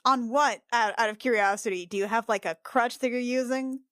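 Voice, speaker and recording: A woman's voice: fairly high pitch, fairly even intonation, a little fast, fairly bright tone, dry recording, clean studio sound. A woman playing a tabletop roleplaying game, improvising casual in-character chat.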